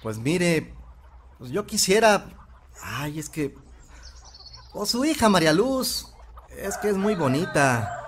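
A man's voice: high-pitched, big pitch swings, slow pace, long pauses, full character voice. A man playing a shy, lovestruck, stammering and polite village suitor with a Mexican accent in an audio drama. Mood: nervous, earnest. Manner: hesitant, stammering, deferential. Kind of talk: audio fiction acting. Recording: clear farmyard noise, roosters and hens.

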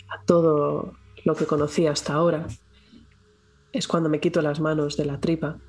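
Slightly husky Castilian woman roleplaying. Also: deep voice, a little mellow, rough recording. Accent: Castilian Spanish. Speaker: player. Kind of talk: in-character first-person roleplay narration.

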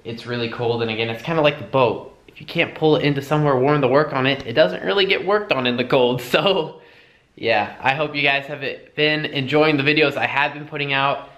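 A young man giving a relaxed, rambling vlog update, filming himself with a handheld camera at arm's length outdoors and in a garage, with plenty of fillers. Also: mid-range voice, a little fast, highs cut off. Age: young adult. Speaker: vlogger. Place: outdoors at a storage lot, in a truck, and in a home garage.

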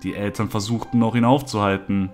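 A man's voice: lowish voice, steady tone, a little fast, soft background music. A man narrating a scripted horror story, acting out bits of dialogue as he goes.

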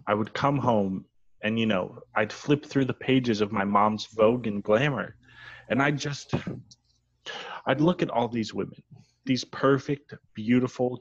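Man reading as an earnest woman, low and husky. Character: exasperated, self-conscious, earnest woman journalist. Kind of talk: comedy script table read. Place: at home on a video call. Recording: muffled video call audio.

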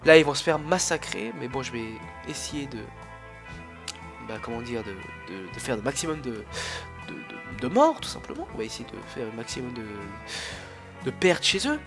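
A man's voice: medium pitch, big pitch swings, normal speed, creaky, over music. A young man with a cold who coughs now and then, chatting casually and rambling through his commentary on a strategy game, full of fillers and restarts. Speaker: gaming YouTuber.

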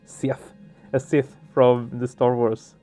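A man's voice: medium pitch, little pitch movement, takes it slowly, dark tone. Casting: male gaming host, mid-range voice, narrates story text with casual commentary, music underneath. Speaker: let's play host. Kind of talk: reading game narration aloud, casual commentary. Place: small home room, indoors. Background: game music.

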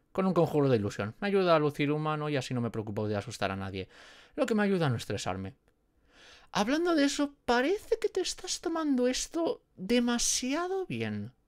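A performer as nervous friendly gorgon, Spain accent, hesitant, rambling, animated pitch, unhurried, audio roleplay. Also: no echo, clean studio sound. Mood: apologetic. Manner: hesitant, rambling, muttering to self. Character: nervous, apologetic gorgon with snake hair, friendly. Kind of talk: roleplay audio drama, one-sided dialogue.

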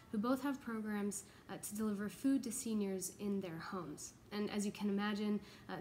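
A woman's voice: mid-range voice, a little mellow, a little hiss or hum. A young American woman giving an earnest, explanatory, informal video update as a church pastoral associate. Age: young adult. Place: large open indoor office space with exposed ductwork.

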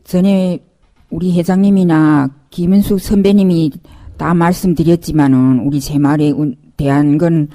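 A woman, a guest on a radio interview, making a formal statement in deliberate phrases. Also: deep voice, steady tone, warm tone. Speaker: volunteer group member, interview guest.

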